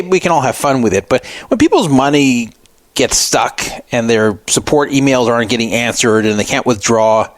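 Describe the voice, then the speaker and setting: A man's voice: medium pitch, dry recording. A man hosting a radio show, giving casual critical commentary in a sarcastic, mocking manner.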